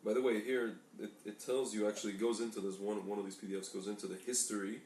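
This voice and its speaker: A man's voice: lowish voice, level tone, fast, a little mellow. A man co-hosting a casual talk show, reading aloud from documents and often stumbling and correcting himself. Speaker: podcast co-host. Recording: echoey room, distant mic, faint noise.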